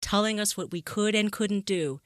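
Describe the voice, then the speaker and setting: A woman's voice: mid-range voice, moderate pace. A woman with a mid-range voice co-hosts an opinionated podcast discussion. She talks bluntly and emphatically, swearing casually, in a close, dry sound with no room echo. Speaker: podcast co-host.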